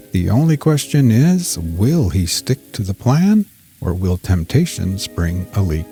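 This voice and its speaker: A man's voice: medium pitch, mellow. A man narrating scripted fiction as a podcast storyteller, with a dramatic audiobook-style delivery.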